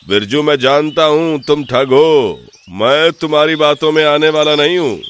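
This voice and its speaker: A man playing a shrewd, suspicious village temple priest in an animated folk tale, with a stern, theatrical manner. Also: on the high side, normal speed, a little hiss or hum, lightly acted.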